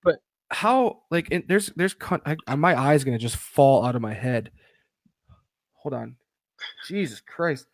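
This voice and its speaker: A young man talking casually on a podcast live stream. He gets worked up fast, swears often and trips over his own restarts. His voice sits mid-range and sounds close and dry, with almost no room. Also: mid-range voice, no echo. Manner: excitable, swears freely, lots of restarts. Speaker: podcast co-host.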